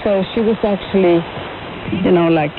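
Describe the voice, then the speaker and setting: Mature female interviewee, mid-pitched, conversational storytelling, thin narrow-band shortwave sound. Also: normal speed. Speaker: interview guest, museum curator. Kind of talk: conversational reminiscing. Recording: noisy, narrow-band shortwave radio broadcast.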